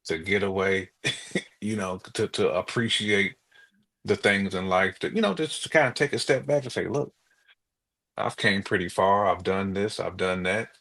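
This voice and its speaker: A man with a deep voice full of gravelly fry and a bright, forceful edge chats as a co-host. His phrasing is casual and colloquial. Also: animated, moderate pace. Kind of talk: casual conversational chat.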